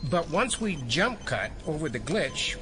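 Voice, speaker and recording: A man's voice: on the high side, sing-song, measured pace, bright, forceful tone, exaggerated voice. An American man playing a grumbling middle-aged conspiracy-minded tech geek in an audio drama. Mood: dry, explanatory. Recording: music behind.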